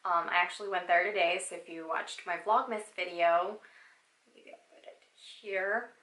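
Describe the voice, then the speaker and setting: A woman's voice: lowish voice, fairly even intonation, normal speed, pushed and bright, echoey room, poor audio. A woman in her thirties from Southern California, chatting sassily and playfully through a get-to-know-me question video. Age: 30s. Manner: sassy, playful, exclamatory. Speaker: vlogger. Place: home, sitting down.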